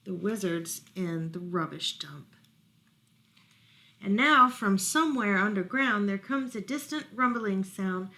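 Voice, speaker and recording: A woman reading children's stories aloud as a library storytime host, animated and putting questions to her young listeners. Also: mid-range voice, measured pace.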